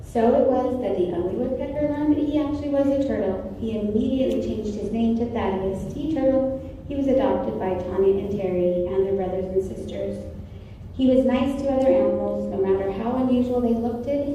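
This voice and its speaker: Very mellow female narrator in an echoey room. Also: mid-range voice, normal speed, highs cut off. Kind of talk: scripted storybook narration for reader's theater. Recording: very echoey distant room, faint noise.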